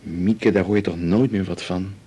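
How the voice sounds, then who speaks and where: A man's voice: low voice, fairly even intonation, normal speed, mellow, slightly rough. A Dutch actor playing an easygoing, reassuring male partner at home in a naturalistic radio drama. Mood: casual, soothing.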